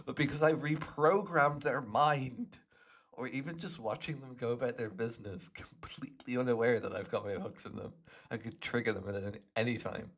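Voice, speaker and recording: A man voicing a smug, playful hypnotist supervillain in an ASMR roleplay, gloating and teasing the listener in a gravelly voice. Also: mid-range voice, close to an everyday voice. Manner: gloating, teasing, theatrical, swooping between murmurs and exclamations.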